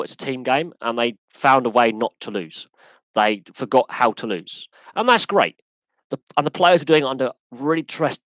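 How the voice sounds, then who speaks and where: A man with an English accent hosting a live sports podcast Q&A, talking in an animated, emphatic way that often restarts and repeats itself. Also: medium pitch, dry recording, low-quality recording. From England. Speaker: podcast host. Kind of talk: live Q&A rant.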